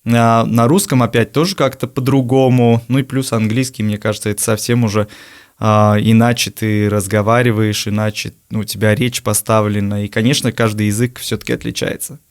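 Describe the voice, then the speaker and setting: Young man from Latvia, native Latvian, low voice, hesitant pauses, interview guest. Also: little pitch movement. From Riga, Latvia. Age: 20s. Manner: thoughtful, hesitant, with frequent filler pauses. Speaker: guest, university lecturer. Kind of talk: casual interview answers.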